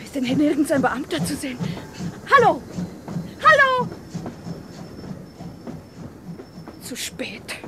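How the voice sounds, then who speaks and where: An actress playing an exasperated, reproachful wife with a dramatic manner, in a German radio drama. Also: female voice, sits high, big pitch swings, normal speed, very noisy. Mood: alarmed.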